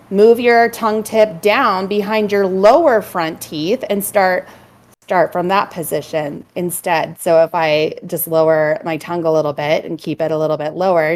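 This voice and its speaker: Explaining woman voice coach, slight fry. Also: on the low side, steady tone, normal speed. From California. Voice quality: a little vocal fry. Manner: fluent, explanatory, many "um"s. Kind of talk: explaining vocal technique in a conversation.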